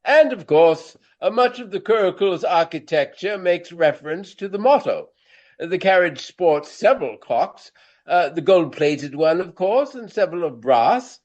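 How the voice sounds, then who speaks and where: An actor playing a vain, elderly Regency stage actor with a pompous, florid, courtly manner in a radio play. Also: male voice, high-pitched, lively intonation, unhurried, darkish tone, lo-fi sound. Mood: boastful, fond.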